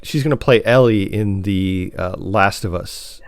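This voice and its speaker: A man's voice: sits low, unhurried, gravelly, no room sound. Casual male podcast host, close dry sound.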